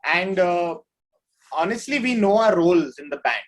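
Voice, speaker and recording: A man's voice: high voice, lively intonation, moderate pace. A man speaking as an interview guest, explaining things in an informal, conversational way. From India. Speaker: band drummer, interview guest. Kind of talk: casual interview chat.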